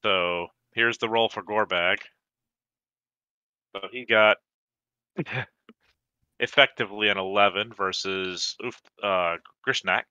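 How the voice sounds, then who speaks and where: A man chatting casually and thinking aloud in an unhurried way while playing an online card game. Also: fairly low pitch, bright, forceful tone, clean tone, no room sound, lo-fi sound.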